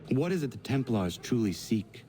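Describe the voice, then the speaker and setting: An actor playing an earnest, idealistic young assassin in a video game, speaking formally and bluntly. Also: male voice, medium pitch, sing-song, dark tone, lightly acted. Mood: challenging. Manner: formal, blunt, measured wording. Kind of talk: video game cutscene acting. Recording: faint background ambient noise.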